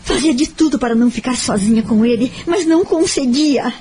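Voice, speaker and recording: Actress as sorrowful high-voiced Brazilian young woman. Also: fairly quick, mellow, close to an everyday voice. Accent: Brazilian Portuguese. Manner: sorrowful, confiding. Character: Cecília, wounded young woman recounting past suffering. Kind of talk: audio novel monologue.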